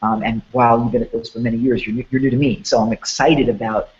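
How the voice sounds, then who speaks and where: A man hosts an event preview, his voice hoarse and a little congested from a cold. He rambles with eager enthusiasm and a middling pitch. The audio comes through a dull, lo-fi call line. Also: flat delivery, fast, a little mellow. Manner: rambling, enthusiastic, many restarts. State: hoarse and sniffling. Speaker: host. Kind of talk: promotional webinar chat.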